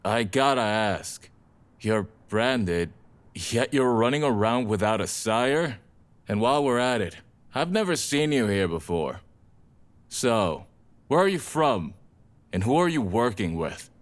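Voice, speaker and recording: A man's voice: medium pitch, expressive, unhurried, close to an everyday voice. A man playing a laid-back, blunt rebel vampire in an audio drama, talking in casual slang. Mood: probing.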